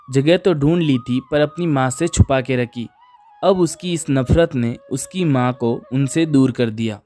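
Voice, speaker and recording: A man's voice: mid-range voice, darkish tone. Male storyteller over background music. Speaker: narrator. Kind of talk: scripted children's moral story narration. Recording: music under the voice.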